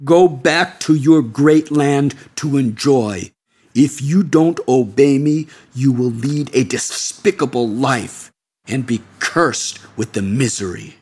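A man voicing a bitter, angry Indian sadhu in an audiobook, scornful and emphatic, with a husky voice. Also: mid-range voice, slow pace, darkish tone. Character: bitter, angry male Indian sadhu of middle age or older.

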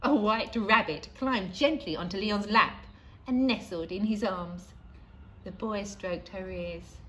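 Slow, dramatic woman reading a storybook. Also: medium pitch, pushed and bright, rough recording. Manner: deliberate, dramatic, with emphatic pauses. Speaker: primary school staff member reading aloud. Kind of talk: expressive children's storybook reading. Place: outdoors in a leafy school playground. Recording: faint outdoor ambient noise.

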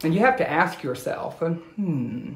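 An American man, a teacher in his thirties, reading aloud to children and asking them questions in an expressive, instructional way, recorded on a phone at home. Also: on the high side, unhurried. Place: small room at home, indoors. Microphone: phone at arm's length. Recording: echoey room, phone recording.

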